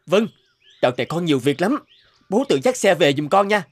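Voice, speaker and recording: An exasperated, high-pitched son. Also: male voice, sing-song, normal speed, husky, soft background music, full character voice. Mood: brisk. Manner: scolding, emphatic. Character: impatient, busy adult son, proud and easily exasperated. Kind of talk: animated moral story dubbing.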